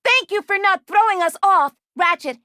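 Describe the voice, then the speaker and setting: A woman voicing a gentle, anxious young female robot in a video game, speaking formally and precisely with careful diction. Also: very high-pitched, expressive, normal speed, no room sound, studio quality, exaggerated voice. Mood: earnest, concerned. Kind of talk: video game character voice lines.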